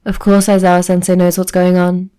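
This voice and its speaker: A deadpan teenage boy voiced by a woman narrator, entirely serious. He states things matter-of-factly and without visible humor, as a story character. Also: lowish voice, brisk, clear, resonant tone, lightly acted.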